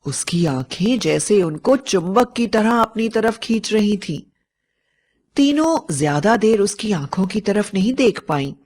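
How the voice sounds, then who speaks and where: A woman's voice: medium pitch, expressive, unhurried, darkish tone, breathy edge. A woman narrating a scripted story as a storyteller. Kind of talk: scripted fairy-tale narration.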